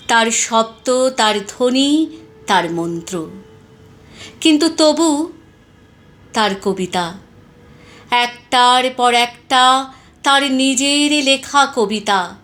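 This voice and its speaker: A woman reciting poetry in a deliberate, expressive, dramatic style with weighted pauses. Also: sits high.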